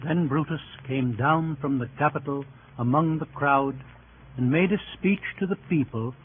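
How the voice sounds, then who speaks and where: A man's voice: fairly high pitch, moderate pace, natural voice. A man narrating Roman history soberly as the scripted narrator of a radio drama. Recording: tinny old radio recording, faint noise.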